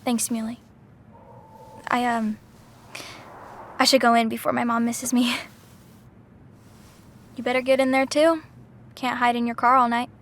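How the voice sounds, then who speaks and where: A woman's voice: fairly high pitch, level tone, measured pace, pauses often, a bit bright, a little hiss or hum. A woman playing a grieving, candid young woman in a video game, earnest and hesitant. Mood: relieved, teasing. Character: grieving young woman, candid, insistent, doubting her father's death. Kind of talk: naturalistic video game acting.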